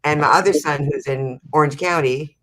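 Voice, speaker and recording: A woman's voice: sits low, moderate pace, a bit bright. A woman in her sixties who is a guest on an online panel and talks in a plain, matter-of-fact way. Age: 60s. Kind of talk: casual online panel chat.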